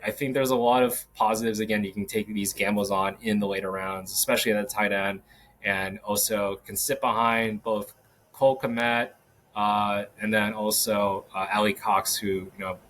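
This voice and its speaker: A man hosting a solo sports podcast, talking casually with many fillers and restarts, his voice a little hoarse. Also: lowish voice, fairly bright tone, slight background noise. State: slightly hoarse. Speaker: podcast host. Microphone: podcast microphone.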